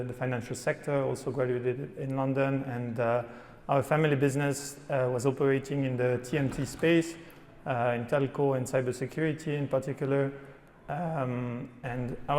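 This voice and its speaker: A man answering as a panelist, halting, with many restarts and fillers. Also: mid-range voice. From Switzerland. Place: conference hall on stage. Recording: reverberant conference hall.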